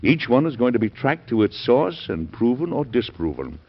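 An actor playing a forceful, commanding president in a 1940s radio drama, on a dull old broadcast recording. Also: male voice, medium pitch, big pitch swings, normal speed, breathy edge. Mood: determined. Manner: commanding, declamatory. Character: stern forceful male American president, middle-aged. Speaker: lead actor, also narrator.

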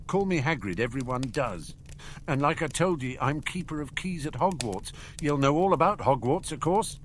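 A man's voice: lively intonation, normal speed, slight background noise, lightly acted. A man voicing a huge, hot-tempered but kindly giant, in an audiobook story. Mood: hearty, gruff. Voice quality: gruff, booming. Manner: rustic, blunt, informal.

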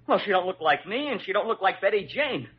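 Anxious simple-minded dad, high voice, husky edge, folksy, fretting, old radio comedy-drama, muffled recording. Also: male voice, sing-song, exaggerated voice. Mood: worried. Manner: folksy, hurried, naive fretting. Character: anxious, dim-witted, folksy new father.

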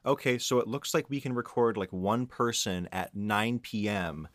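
A man's voice: fairly low pitch, normal speed, no echo, studio quality. A man chatting casually as a podcast host, thinking aloud in a conversational way. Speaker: podcast host and audio drama writer. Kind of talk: casual roundtable discussion.

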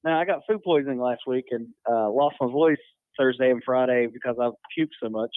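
A man with a hoarse, slightly raspy voice, rambling laid-back in casual chat. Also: mid-range voice, fairly quick, no room sound. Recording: tinny phone-like line.

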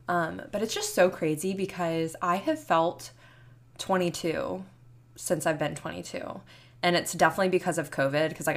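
A woman in her mid twenties hosting a solo podcast in a chatty, rambling, casual way. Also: low voice. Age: 20s. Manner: chatty, rambling, youthful filler-heavy talk. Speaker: podcast host.

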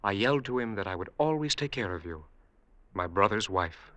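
An actor playing a scheming, coldly triumphant brother-in-law in a formal, declamatory 1950s radio drama style. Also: male voice, lowish voice, expressive, brisk, a bit bright. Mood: mock solemn. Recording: vintage radio drama recording.

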